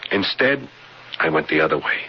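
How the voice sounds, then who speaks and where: An actor in a radio drama playing a haunted man who recounts a frightening boyhood memory in halting, stammering phrases, on a noisy vintage broadcast. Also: rumbling low, animated, highs cut off. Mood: reflective. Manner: halting, stammering, repeating words.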